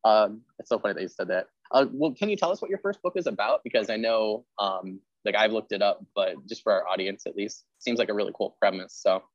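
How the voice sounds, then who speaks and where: A man with a low voice hosts a casual interview. He tells anecdotes in a chatty, animated manner, acting out quotes as he goes. The audio is dry and somewhat muffled. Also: fast, few pauses, dry recording. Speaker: podcast host; teacher.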